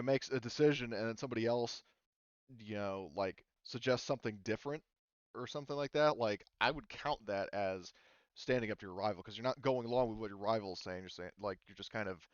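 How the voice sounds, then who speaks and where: A man with a clear, smooth voice leads a casual tabletop planning talk as game master. He is informal, swears freely and tells stories in an animated way. The sound is dry and close, a little dull, like voice chat. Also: medium pitch, brisk, no echo. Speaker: game master running a group planning session. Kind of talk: casual group discussion of tabletop game rules.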